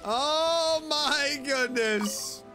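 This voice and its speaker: Woman gamer chatting casually, bright voice, kinda slow, sceptical and blunt. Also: high voice, soft background music. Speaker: streamer playing and reading along. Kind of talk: casual reactions to a game.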